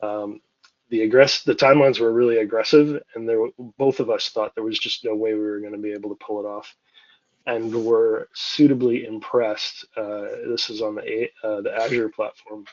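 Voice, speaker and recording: An older man, a longtime consultant, moderating a webinar panel in a relaxed, conversational way. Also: lowish voice, moderate pace. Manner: relaxed, conversational with occasional restarts. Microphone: video call. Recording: dry, dull lo-fi call audio.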